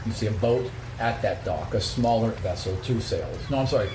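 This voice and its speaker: A man's voice: fairly low pitch, brisk. A man casually explaining the scene to players as game master of a tabletop game. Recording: echoey room with clear background noise.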